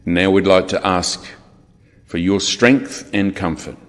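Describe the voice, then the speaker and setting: A middle-aged man with an Australian accent, leading a funeral service in a measured, solemn and courteous way. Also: low voice. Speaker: funeral celebrant. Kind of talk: formal funeral address and prayer. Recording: long reverb of a large hall.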